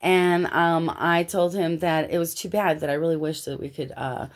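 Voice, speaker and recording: An American woman from Los Angeles talking casually and telling personal anecdotes in an animated, slangy way. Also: sits low, normal speed. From Los Angeles, United States.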